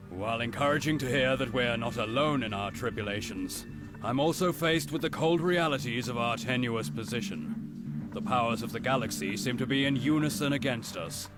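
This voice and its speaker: This is a man acting a solemn leader of an alien faction in a game. His speech is formal and grave, middle in pitch, with very loud music behind. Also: moderate pace, close to an everyday voice. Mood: grave, bleak.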